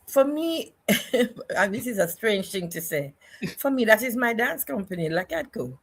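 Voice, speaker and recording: An older woman with a Jamaican accent, speaking as an interview guest in a reflective, deliberate way and repeating phrases for emphasis. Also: on the high side, big pitch swings, a bit bright, slightly rough. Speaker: guest, choreographer and dance educator.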